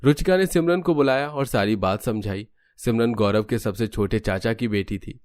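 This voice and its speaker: A man's voice: on the low side, normal speed, darkish tone. A man narrating a scripted cartoon family story as a storyteller.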